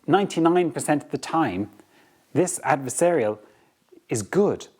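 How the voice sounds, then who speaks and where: A man's voice: a little high, animated, a little slow, a little mellow, husky. A man with an Irish accent hosting a motivational talk on leadership, earnest and repetitive, often checking in with "okay?". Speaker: podcast host.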